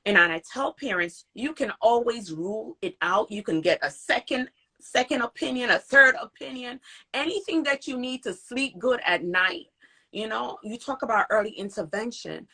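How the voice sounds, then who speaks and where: A woman with a bright, forceful voice and a husky, slightly rough edge hosts a live video call interview. She talks earnestly and with feeling, acting out the words of people she quotes. Her voice carries a grainy texture throughout. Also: fairly high pitch, normal speed. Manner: earnest, animated storytelling with emphatic quoted speech. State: recovering from voice loss. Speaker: interview host and parent advocate. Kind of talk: casual live-stream interview.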